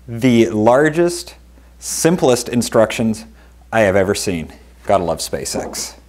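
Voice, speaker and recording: Lively, chatty man unboxing gear. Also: on the low side, a little hiss or hum. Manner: enthusiastic, chatty, lively exclamations. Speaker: veterinarian and channel host. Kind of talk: product unboxing vlog. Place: living room with wooden table, indoors.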